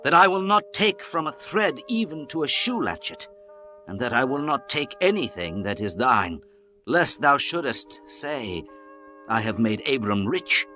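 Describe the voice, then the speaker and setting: A man's voice: a little high, bright, forceful tone, heavily acted. A man playing an earnest ancient patriarch. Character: aged patriarch pleading with his god, earnest.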